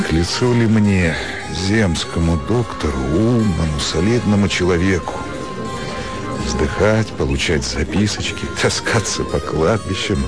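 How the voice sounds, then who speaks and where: Casting: lovesick, earnest provincial doctor, lowish register, theatrical pleading and brooding, radio play with prominent music bed. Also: male voice. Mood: pensive, self-doubting. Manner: ardent, pleading, brooding in soliloquy. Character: earnest lovestruck male country doctor, pleading yet self-doubting. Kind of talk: theatrical radio drama acting. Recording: music behind the voice.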